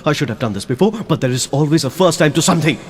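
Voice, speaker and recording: An actor playing a young man from India with an Indian English accent, swinging between outbursts and hushed pleading in an audio drama. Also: fairly high pitch, lively intonation, very fast, husky. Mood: irritated. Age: young adult. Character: young man Raj, pleading with old college love. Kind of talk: amateur audio drama acting.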